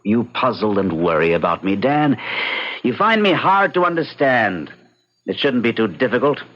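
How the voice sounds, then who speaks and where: A man's voice: medium pitch, sing-song, moderate pace. A man playing a detached, pragmatic bachelor of thirty, articulate and dryly amused, in radio drama style. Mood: coolly bitter. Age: 30s. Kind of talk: old-time radio drama acting. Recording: dull lo-fi vintage radio recording.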